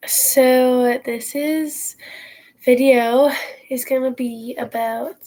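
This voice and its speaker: A woman's voice: high voice, steady tone, very slow, warm tone. A teenage girl with a stuffy nose from a cold, telling a story to her viewers in a casual, rambling way, full of fillers and restarts. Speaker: horse vlogger. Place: at home, indoors.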